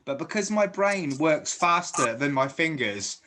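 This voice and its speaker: British guy from guernsey, accent half channel islands half yorkshire, slightly high voice, self-deprecating, keeps restarting. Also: lively intonation, a little slow, pushed and bright, soft background music. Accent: British English mixing Channel Islands and South Yorkshire. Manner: chatty, self-deprecating, restarts his sentences often. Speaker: interviewer. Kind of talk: casual video chat.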